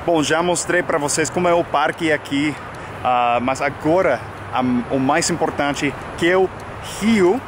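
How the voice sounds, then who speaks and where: A young American man, a native English speaker, chatting casually to the camera in a sunny park, relaxed with lots of fillers. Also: fairly high pitch, a little slow. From United States. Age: young adult. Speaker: vlogger and English teacher. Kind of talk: casual outdoor vlog. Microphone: camera mic at arm's length. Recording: outdoor noise from traffic.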